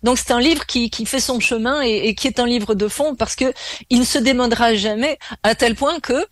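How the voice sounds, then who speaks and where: A woman's voice: fairly high pitch, normal speed, dry recording. Chatty woman in her sixties. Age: 60s. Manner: talkative, frank, laughs easily. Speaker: author guest. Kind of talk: casual interview chat.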